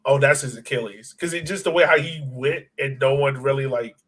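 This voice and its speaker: A man's voice: medium pitch, brisk, a bit bright. A man with an American accent hosts a casual soccer podcast. His voice sits in a middle register. He talks conversationally, with an inquisitive manner that keeps the discussion moving. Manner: inquisitive, steers the discussion with questions. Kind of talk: casual conversational sports talk.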